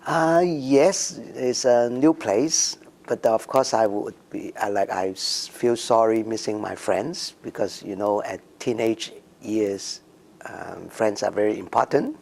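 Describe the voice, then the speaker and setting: Slow-speaking man in his sixties, gravelly. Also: on the low side, darkish tone. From Macau and Hong Kong. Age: 60s. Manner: slow, hesitant, many ums and restarts. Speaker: interviewee. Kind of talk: oral history interview.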